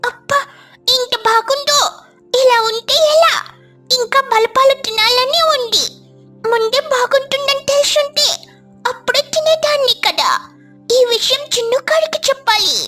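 A woman voicing a small, chatty, greedy girl sparrow for a children's cartoon story, in a squeaky childlike voice. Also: measured pace, pushed and bright, faint music behind, full character voice. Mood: delighted, curious.

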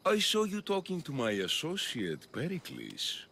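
An actor playing a scheming, grandiose populist politician of ancient Athens in video game dialogue, with a gravelly, oratorical delivery. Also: male voice, fairly high pitch, wildly swooping pitch, unhurried, sharp tone, slight background noise, exaggerated voice. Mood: insinuating.

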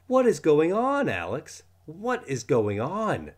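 An excitable schoolboy from a storybook, as a man reads him aloud. He is gullible and quick to outrage, his pitch jumping high and swinging widely as he drags out his words. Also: exaggerated voice. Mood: outraged yelling.

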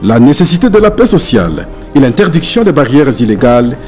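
A man's voice: mid-range voice, big pitch swings, a little fast, a little mellow, soft background music. A man reading scripted news narration as a reporter.

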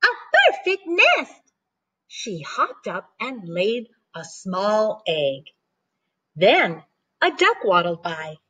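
A middle-aged woman reading a storybook aloud to children in an expressive, deliberate way, like a teacher. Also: lowish voice, slightly rough, lo-fi sound. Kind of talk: animated storybook read-aloud. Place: living room at home, indoors.

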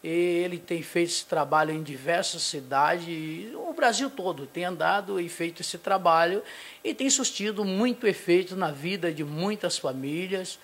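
A middle-aged man, a pastor from Brazil, giving a halting local TV interview full of fillers and restarts, speaking into a handheld mic in a small studio. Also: sits high, a bit bright. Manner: hesitant, many fillers and restarts, tag questions. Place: small studio in front of a logo backdrop.

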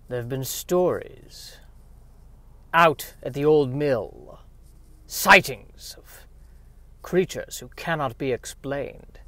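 A man voicing a pompous, theatrical 1950s sci-fi scientist in a declamatory, drawn-out radio drama style. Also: a little high, a bit bright, dry recording, heavily acted.

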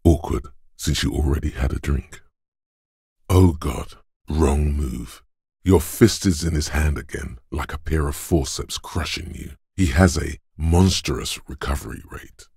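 Domineering inner voice of muscle, game narration, heavily rasping, dry close studio sound, deliberate tough talk. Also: male voice, darkish tone, dry recording, exaggerated voice. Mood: grave, intense. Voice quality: very deep, gravelly, raspy. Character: gruff, domineering inner voice of brute physical strength. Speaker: video game character narrator. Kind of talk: scripted game narration, dramatic second-person.

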